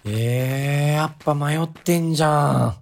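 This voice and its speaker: A man's voice: fairly high pitch, unhurried, creaky. An actor playing a naive, doubtful young man questioning his friend casually in a comic radio drama. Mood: sceptical.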